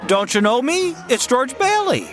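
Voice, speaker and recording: A man's voice: very high-pitched, big pitch swings, moderate pace, fairly bright tone, full character voice. A man playing a troubled, bewildered small-town family man in an old-time radio drama, with a hesitant, stammering delivery. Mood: confused.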